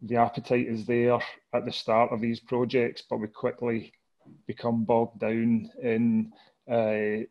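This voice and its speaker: A man with a Glasgow Scottish accent asking a question on a video call through a headset, earnest and measured, with filler pauses. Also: mid-range voice, monotone, muffled recording. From Scotland. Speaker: audience member asking a question.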